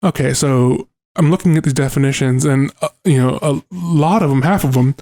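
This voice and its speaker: A young man co-hosting a podcast, reasoning things through aloud in a relaxed, thoughtful way. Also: mid-range voice, normal speed. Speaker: podcast co-host. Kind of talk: casual podcast conversation.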